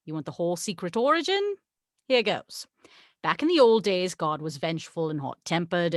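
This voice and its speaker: A woman's voice: lowish voice, no room sound, studio quality, lightly acted. A woman reading the part of a haughty, sarcastic angel in a script table read, with a wry, exasperated, condescending manner. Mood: wry storytelling. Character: haughty, sarcastic tequila-loving angel, the voice of God.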